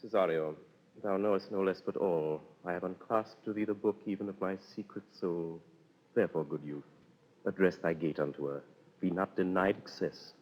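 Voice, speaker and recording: An actor playing a lovesick, commanding duke in grand, declamatory 1930s radio Shakespeare style. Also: male voice, low voice, moderate pace. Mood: urgent, intimate. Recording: muffled 1930s radio, long reverb.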